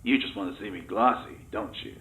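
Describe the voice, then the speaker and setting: A man's voice: lowish voice, fairly quick, pushed and bright, a little hiss or hum. An actor playing a cheeky, flirtatious male test subject in a comedic audio drama, teasing and melodramatic in his delivery. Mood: playful.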